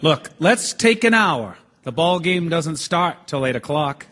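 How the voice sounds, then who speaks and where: A man playing a thoughtful, hesitant but quietly persistent juror in an audio stage drama. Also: a little high, lively intonation. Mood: earnest, reasoning. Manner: hesitant, earnest, measured. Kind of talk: stage radio drama acting.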